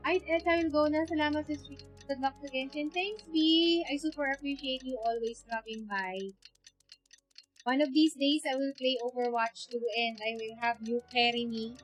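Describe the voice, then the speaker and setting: A woman's voice: sits high, steady tone, normal speed, rough recording. A woman with a Filipino accent, a native Tagalog speaker, chatting on a game livestream and reading dialogue aloud. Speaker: game streamer. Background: game music.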